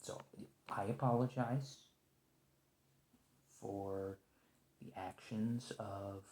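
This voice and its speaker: Man acting a halting, nervous agent, roomy echo. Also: lowish voice, steady tone, slight background noise. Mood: apologetic, explaining. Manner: hesitant, halting, stammering, soft-spoken. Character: nervous, conciliatory special agent trapped by an anomalous creature. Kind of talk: ASMR roleplay, one-sided point-of-view dialogue.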